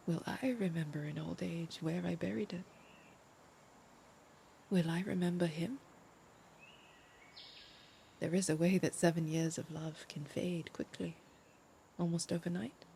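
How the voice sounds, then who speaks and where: Here is a sentimental, heartbroken woman in an audio drama. Her voice sits fairly low and carries an emotional, pleading manner. There is noticeable background ambience under her words. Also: gravelly, warm tone, slight background noise. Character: heartbroken, sentimental young woman clinging to her departing lover.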